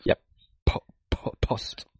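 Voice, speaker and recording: A man's voice: takes it slowly, no room sound. A man co-hosting a podcast, chatting casually with his partner. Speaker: podcast co-host. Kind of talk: casual banter with co-host.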